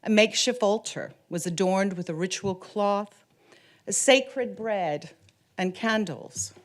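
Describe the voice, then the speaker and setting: A woman from Canada whose first language is Ukrainian, giving a formal conference presentation as an artist in a measured, solemn way that slows down on key words. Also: mid-range voice. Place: conference room.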